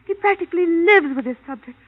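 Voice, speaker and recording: A woman's voice: very high-pitched, animated, fast. A woman with an American accent playing a naively devoted, defensive wife in a vintage radio drama, earnest and emotional. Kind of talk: 1950s radio drama acting. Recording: dull, lo-fi old radio recording.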